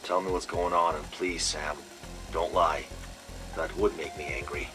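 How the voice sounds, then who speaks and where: Deep, guarded intelligence chief. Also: male voice, fairly even intonation, unhurried, music in the background. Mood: restrained concern. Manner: controlled, grave. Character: guarded, stern male intelligence team leader, protective. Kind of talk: video game audio log acting.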